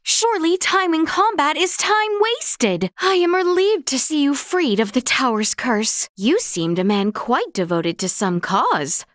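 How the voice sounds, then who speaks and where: High-voiced, courteous young noblewoman warrior. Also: very animated, a little slow, few pauses, a bit bright, no room sound, clean studio sound, heavily acted. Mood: polite, curious. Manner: formal, archaic, genteel phrasing. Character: earnest, courteous young noblewoman warrior, gentle yet resolute. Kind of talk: scripted video game character voice lines.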